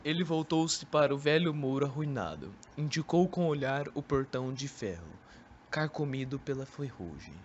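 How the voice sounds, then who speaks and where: A man's voice: medium pitch, expressive, moderate pace, dull audio. A young man with a Brazilian accent reading scripted literary narration for an amateur radio drama. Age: young adult. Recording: amateur recording with background noise.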